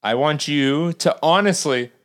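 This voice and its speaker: Male podcast co-host, slightly high voice, sarcastic profane banter. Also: measured pace, fairly bright tone. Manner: sarcastic joking interjections.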